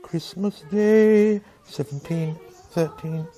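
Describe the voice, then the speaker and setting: A woman's voice: on the low side, slow pace, warm tone, faint music behind. A woman playing a meek, kindly male clerk in a radio play, timid and deferential. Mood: absorbed.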